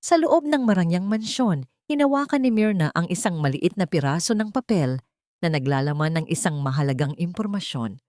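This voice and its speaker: A woman with a Filipino accent narrating an emotional scripted story in a measured, literary storytelling manner. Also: on the low side, expressive, a little mellow, no room sound, studio quality.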